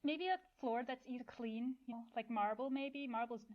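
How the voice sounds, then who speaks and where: High-voiced woman, dull lo-fi recording. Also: normal speed. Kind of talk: improvised comic role-play, casual chat. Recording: dull, lo-fi podcast sound.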